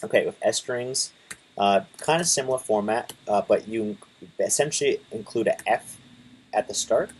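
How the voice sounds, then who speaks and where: A man in his twenties casually talking through a coding tutorial, relaxed, with lots of fillers and restarts. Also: fairly low pitch, moderate pace, slightly rough. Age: 20s. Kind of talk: casual screen-share tutorial.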